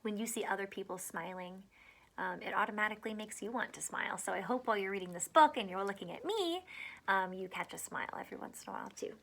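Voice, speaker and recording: A woman's voice: medium pitch, fast talker, vocal fry, fairly bright tone. A woman teacher reading a picture book aloud to children, adding encouraging asides and questions.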